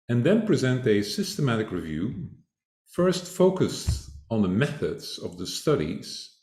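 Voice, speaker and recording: An older man from Flanders, Belgium, giving a measured academic conference talk as a speaker. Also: mid-range voice, darkish tone, roomy sound. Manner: measured, deliberate lecturing.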